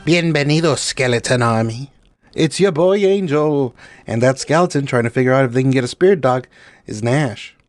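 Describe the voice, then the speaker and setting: A man's voice: mid-range voice, lively intonation, moderate pace, soft background music. A man from Mexico co-hosting a comedy podcast, bantering playfully, stretching words for emphasis and dropping in Spanish. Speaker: podcast co-host.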